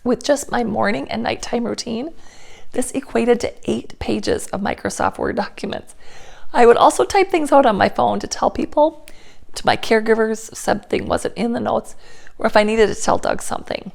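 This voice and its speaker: A woman, slightly hoarse as her voice returns after laryngitis, giving viewers a candid, earnest personal update. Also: on the high side, moderate pace. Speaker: inspirational speaker and channel host.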